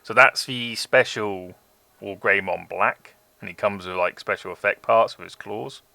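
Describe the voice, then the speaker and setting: British man, thirties, mixed Forces English accent; deep, gravelly, bright-edged voice; casual stream chatter over faint music. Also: a little slow, no room sound. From England. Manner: chatty, swears freely, laughs often. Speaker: streamer building model kits. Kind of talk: casual chat on a live stream.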